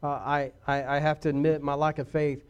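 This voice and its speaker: A pastor preaching a sermon, shifting from quiet confiding asides to loud emphatic declarations. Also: male voice, on the high side, little pitch movement, brisk, smooth, dull audio.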